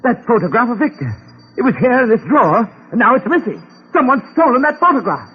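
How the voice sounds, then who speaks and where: Irritable rich uncle, gruff, contemptuous, very animated pitch, old radio drama, muffled noisy recording. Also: male voice, fast. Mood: alarmed. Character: irritable, domineering wealthy uncle hiring a detective.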